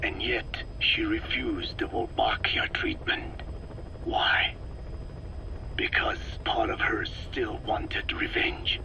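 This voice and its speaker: An actor playing a solemn, grave old man in a video game, speaking haltingly in a whispery, gravelly voice. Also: on the low side, steady tone, low-quality recording. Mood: grave, hushed. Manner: slow, deliberate, halting delivery. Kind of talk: dramatic game voice acting.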